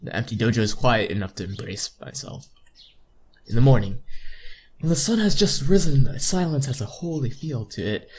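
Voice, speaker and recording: A man's voice: medium pitch, animated, moderate pace, a little mellow, slightly rough. A young man reading visual novel text aloud as a playthrough host, informally, stumbling now and then and correcting himself, with casual asides. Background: game music.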